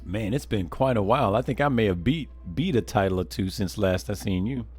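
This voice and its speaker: A man's voice: lowish voice, animated, a little fast, a little mellow, husky, soft background music. A man live streaming a game, chatting casually with viewers in a folksy, rambling way, often repeating words.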